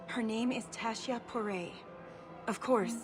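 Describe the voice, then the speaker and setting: A woman's voice: a little high, a little slow, natural voice. A woman playing a worried alien spouse in a video game, speaking politely with restrained, hesitant anxiety. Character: anxious, polite female alien worried for partner. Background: music.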